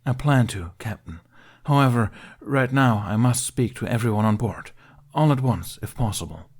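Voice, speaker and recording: A man reading as a courteous veteran Jedi who speaks with formal, measured authority. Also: medium pitch, expressive, darkish tone, slightly rough. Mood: formal, commanding. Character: composed, courteous male Jedi veteran taking command.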